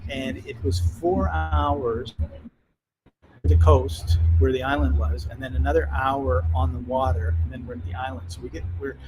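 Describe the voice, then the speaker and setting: Canadian man telling a lively story. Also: mid-range voice, unhurried, background noise. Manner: lively anecdotal, acts out quoted dialogue. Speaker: podcast guest. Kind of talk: casual storytelling. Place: at home abroad.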